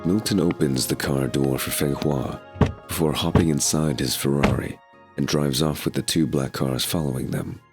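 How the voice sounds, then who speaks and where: A man's voice: very deep voice, moderate pace, over music. A man reading scripted narration for an audio drama as its storyteller.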